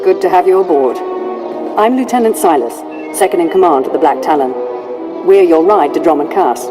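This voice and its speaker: Lady as a dutiful game lieutenant, lowish voice, clipped and formal, loud music behind. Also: animated, fairly quick, close to an everyday voice. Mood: courteous, formal. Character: brisk, dutiful female military lieutenant. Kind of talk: video game character acting.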